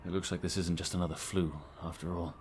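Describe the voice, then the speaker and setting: A man's voice: low voice, little pitch movement, fast talker, slight background noise, lightly acted. A man acting a restless, preoccupied husband in an audio drama, talking in an understated, muted way. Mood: tense, absorbed.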